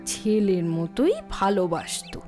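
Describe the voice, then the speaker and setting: A woman's voice: medium pitch, lively intonation, measured pace, mellow, faint music behind. A woman with a Bengali accent narrating a scripted children's story.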